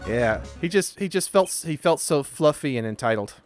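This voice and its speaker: A high male voice that swings widely in pitch, with music behind it. He hosts a gameplay video with casual, joking commentary. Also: expressive, moderate pace, no room sound. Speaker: let's play host and voice performer.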